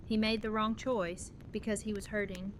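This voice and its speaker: A woman plays a young widowed mother in an audio drama. She is earnest and resolute, with a tender, conversational delivery at a middling pitch. Noticeable ambient noise sits behind the voice. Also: level tone, normal speed, natural voice. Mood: serious, reflective. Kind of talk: fan audio drama dialogue. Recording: clear background noise and ambience.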